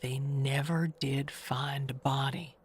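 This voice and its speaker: A woman playing a gossipy, wistful, never-married older neighbor who confides with pointed pauses and hushed asides in an audio mystery monologue. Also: rumbling low, steady tone, a little hiss or hum. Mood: confiding, suspicious.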